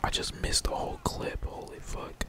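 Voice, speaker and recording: A man whispering casual, slangy and sweary ASMR gaming commentary in a breathy, playful mutter, as a streamer talking to viewers. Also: normal speed. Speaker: gaming streamer, channel host.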